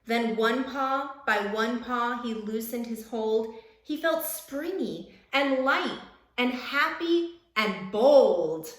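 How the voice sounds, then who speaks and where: A woman teacher reading a children's storybook aloud in an expressive, encouraging way, speaking directly to young listeners. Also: on the high side, slow pace, echoey room.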